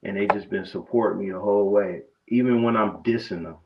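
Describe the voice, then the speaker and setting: This is a man in his forties with a gravelly, fairly low voice. He tells his story loosely, starting over and repeating himself as he talks. The recording sounds dull and muffled. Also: brisk, noisy background. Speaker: barber guest. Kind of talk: casual interview talk.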